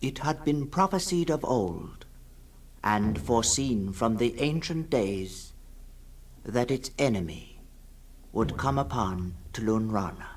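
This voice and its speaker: A man reading a fantasy tale as scripted dramatic narration, solemn and portentous, with deliberate pauses. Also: mid-range voice, expressive. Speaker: story reader.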